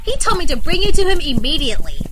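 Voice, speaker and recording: A tiny eager imp with a high, animated, chirping delivery. The messenger creature of an audio drama, heard over faint noise. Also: female voice, quick pace. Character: Yap, small eager flying imp messenger of hell.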